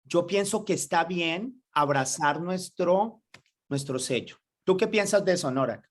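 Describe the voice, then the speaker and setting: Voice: medium pitch, lively intonation, measured pace. Enthusiastic rambling talk-show host. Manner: enthusiastic, rambling, with many fillers. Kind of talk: casual interview chat.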